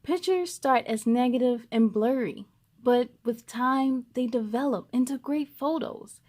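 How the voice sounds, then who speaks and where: A woman voicing a kind, patient storybook uncle, gently and emphatically encouraging his young nephew. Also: on the high side, unhurried.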